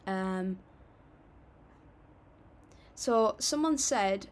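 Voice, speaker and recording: A woman's voice: mid-range voice, animated, extremely slow. A young woman hosting a casual livestream, chatting and reading viewer comments aloud in an upbeat, apologetic way. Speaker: livestream music reactor.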